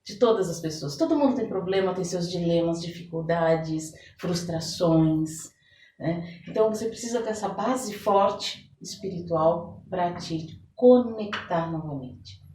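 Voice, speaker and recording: A woman's voice: on the low side, measured pace, dark tone. A woman with a Brazilian Portuguese accent explaining her practice in a conversational interview, reflective and often checking for agreement. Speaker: interview guest, holistic therapist and folk blesser. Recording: echoey room, distant mic, faint background noise.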